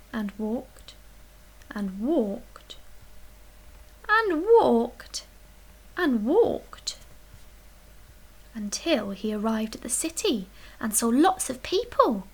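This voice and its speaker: A woman reading a children's picture book aloud as a storyteller, expressively, with dramatic emphasis and hushed, breathy dips. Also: on the high side, very animated, takes it slowly, pauses often, poor audio. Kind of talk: expressive read-aloud bedtime story narration.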